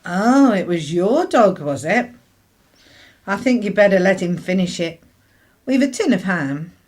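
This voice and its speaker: A woman playing a sharp, harried English mother of the 1950s, dryly sarcastic, in a radio play. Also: mid-range voice, animated, a little slow. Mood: exasperated. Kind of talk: British radio play acting and narration.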